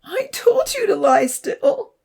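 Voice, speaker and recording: Woman narrator playing tearful teen girl, high shifted pitch, pleading, shaky, frantic, audiobook story character. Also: very animated, takes it slowly, vocal fry, darkish tone, full character voice. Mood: crying, insistent.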